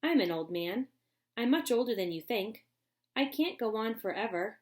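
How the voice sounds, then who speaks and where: A woman voicing an eccentric, excitable old man who makes candy, in a storybook reading. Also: a little high, lightly acted. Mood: eager. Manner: brisk, eager and bossy.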